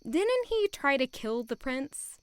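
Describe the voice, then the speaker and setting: A woman's voice: high voice, sing-song, takes it slowly, no echo, full character voice. A young woman acting an anxious, lovelorn servant girl in an audio drama, earnest and plaintive.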